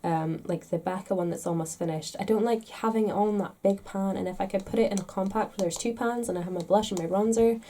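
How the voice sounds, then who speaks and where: A congested woman chats to the camera in a rambling, self-deprecating vlog manner. Her voice is mellow and soft-toned at an ordinary pitch. A faint hiss sits beneath the recording. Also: quick pace, hardly any pauses. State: congested, stuffed-up nose. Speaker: makeup vlogger. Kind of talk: casual chat to camera.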